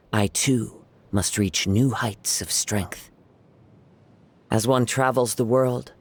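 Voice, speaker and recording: An actor voicing a calm young male wandering samurai poet in a game, speaking softly, gently and reflectively. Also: medium pitch. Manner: soft-spoken, gentle and reflective. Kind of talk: game character voice lines.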